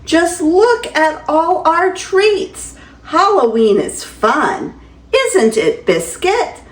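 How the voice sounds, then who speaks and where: A cheerful little girl from a picture book, voiced by a grandmother reading aloud, calling to her puppy in a high, lilting, sing-song voice. She lingers on every word, very slowly. The sound carries a roomy echo. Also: sing-song, full character voice. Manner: bright, coaxing, sing-song.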